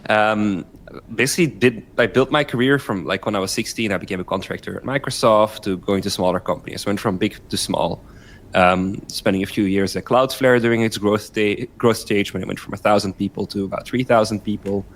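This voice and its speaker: Male guest, slightly low voice, fluent technical explainer. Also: a little fast. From Belgium. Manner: fluent explainer, tags points with "right?". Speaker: guest, company founder. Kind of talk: explanatory interview answers.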